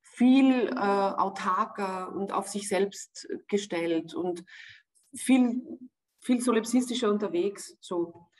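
A woman in her forties with an Austrian accent, an actress answering interview questions thoughtfully over a video call, pausing to search for words. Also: mid-range voice, poor audio. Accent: Austrian German. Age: 40s. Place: home room with wood panelling, indoors. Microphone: video call webcam.

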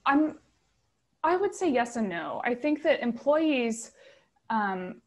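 A woman's voice: a little high, big pitch swings, slow. A woman speaking on a video call panel, explaining her view in an earnest, emphatic way that builds her points step by step. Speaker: panelist, data science manager.

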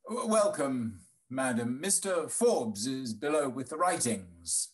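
A man acts a testy old gentleman in a period comedy. He blusters and fusses in a slow delivery, with pitch that swings wildly up and down. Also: big pitch swings. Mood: fussy, testy. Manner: fussy, exclaiming, stammering. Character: blustering, hurried old gentleman, a stern father. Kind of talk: period stage comedy read aloud. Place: indoors, on a video call.

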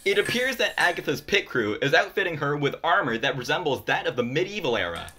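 A man playing an excitable, jovial sports commentator in a comedic radio play, with an animated announcer delivery. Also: fairly high pitch, quick pace, a little hiss or hum. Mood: excited.

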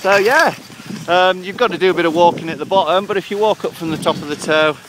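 Middle-aged guy chatting upbeat about the snow outdoors, bit higher voice, windy action cam sound. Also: fast. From England. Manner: chatty and upbeat, loose with fillers. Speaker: ski club member giving a snow report. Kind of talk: casual outdoor snow report to camera. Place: open snowy hillside outdoors. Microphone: action camera on the helmet or held close. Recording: wind and outdoor noise on a small camera.

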